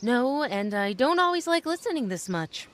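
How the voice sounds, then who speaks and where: A woman playing a brisk, good-humored, warm-hearted young mother in an old-time radio romantic comedy. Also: fairly high pitch, big pitch swings. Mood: amused. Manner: polite, teasing, brisk.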